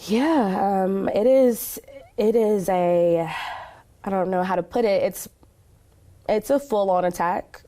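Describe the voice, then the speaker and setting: A woman's voice: mid-range voice, slow pace, pauses often, mellow. An American woman from Kentucky giving conversational interview answers as a community advocate, earnest and emphatic, speaking with conviction. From Kentucky, United States.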